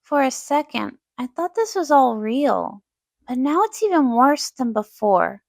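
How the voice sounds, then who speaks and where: A woman's voice: high voice, big pitch swings, unhurried, vocal fry, no echo, studio quality, full character voice. A woman voicing an earnest, worried young pony princess in a cartoon fan dub, speaking emotively. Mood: concerned.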